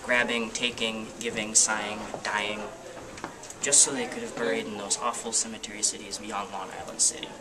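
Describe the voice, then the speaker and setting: A man's voice: on the low side, level tone, normal speed. A man, a student, giving an amateur dramatic reading of a novel in a plain, unpolished way with some stumbles. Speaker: student reader. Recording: distant mic with loud background noise.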